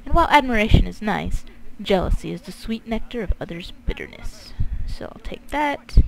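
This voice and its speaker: Creaky-voiced woman doing game commentary. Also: mid-range voice, animated, moderate pace, slight background noise, poor audio. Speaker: gaming video host. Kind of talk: casual game commentary, reading quest text aloud. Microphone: close mic, breathy pops.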